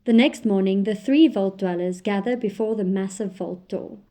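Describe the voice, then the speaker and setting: A woman narrating a scripted audio drama, measured and descriptive. Her voice sits mid-range with a mellow, darker tone. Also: medium pitch.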